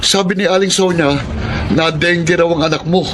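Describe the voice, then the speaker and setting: A man's voice: fairly high pitch, steady tone, brisk, background noise, natural voice. A man playing a young Filipino street buddy in a radio drama, speaking colloquially like a pal. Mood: grave, hesitant.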